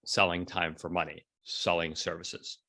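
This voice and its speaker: A man in his forties speaking casually as an interview guest, telling stories in an animated way and acting out bits of dialogue. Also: low-pitched, measured pace. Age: 40s. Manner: animated storytelling, acts out quoted dialogue, often checks in with "right?". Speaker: entrepreneur and blogger being interviewed. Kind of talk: casual conversational interview talk.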